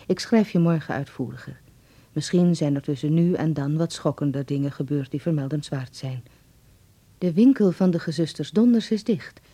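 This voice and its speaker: A woman's voice: low-pitched, normal speed, mellow, husky. An actress playing an affectionate, watchful mother reading out her letter in a radio drama. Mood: tender, chatty.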